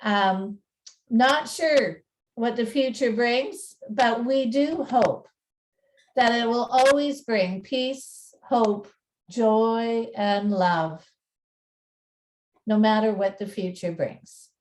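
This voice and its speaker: A woman working as narrator and presenter, telling a story in a measured, deliberate way with informal presenting. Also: a little high, a little mellow, rough recording.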